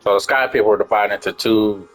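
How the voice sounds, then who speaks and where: Low-voiced man, casual gamer commentary. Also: steady tone, fast talker, soft background music. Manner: blunt, profane, slangy. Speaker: gamer reacting to game story.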